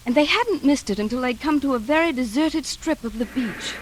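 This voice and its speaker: A woman's voice: sits high, lively intonation, brisk, slight background noise, low-quality recording. An actress playing a troubled widowed mother in an old radio drama, hesitant and melancholy. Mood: sombre, reflective. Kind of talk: 1940s radio acting and narration.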